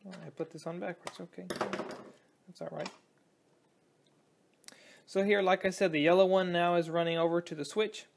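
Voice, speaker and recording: A young man giving a casual hands-on demonstration as a hobbyist, talking in a halting, think-aloud way. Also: high voice, level tone, gravelly. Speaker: hobbyist presenter. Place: indoors at a tabletop.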